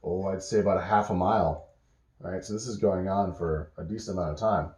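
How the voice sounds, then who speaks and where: A man telling an improvised bedtime story at home, relaxed and pausing often as the listeners interrupt. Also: low-pitched, reverberant.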